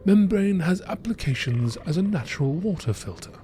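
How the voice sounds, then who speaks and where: A man delivers measured, scripted narration in the style of a nature documentary. His voice sits a little high and has a mellow tone, with lively, varied intonation. There is faint noise behind him.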